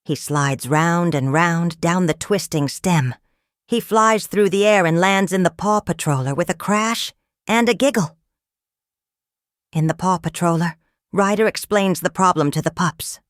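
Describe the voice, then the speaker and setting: Woman reading a children's storybook aloud, lower-pitched. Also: a little slow, dry recording, studio quality. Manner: expressive storytelling for young children. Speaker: storybook reader. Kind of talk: scripted read-aloud narration of a children's story.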